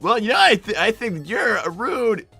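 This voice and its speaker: High, cracking, flustered young fox. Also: male voice, sing-song, moderate pace, vocal fry, sharp tone, husky, faint music behind, full character voice. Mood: flustered defensive. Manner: stammering, flustered, easily exasperated. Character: flustered, defensive young fox boy Tails, cartoon big brother. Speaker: livestream co-host in character. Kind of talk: improvised character comedy livestream.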